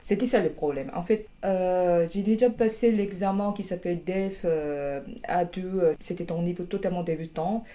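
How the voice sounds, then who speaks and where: Young Korean woman, Korean accent, deep mellow tone, hesitant and wry interviewee. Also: normal speed, hardly any pauses. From South Korea. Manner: hesitant, many fillers and restarts, wry humor. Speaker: interviewee, master's student. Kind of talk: interview answers.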